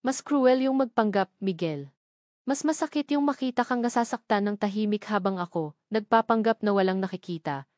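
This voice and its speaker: A woman's voice: medium pitch, moderate pace, darkish tone, no room sound, studio quality, close to an everyday voice. A woman playing a tender, determined young woman in love in a romantic audio drama. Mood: pained, devoted.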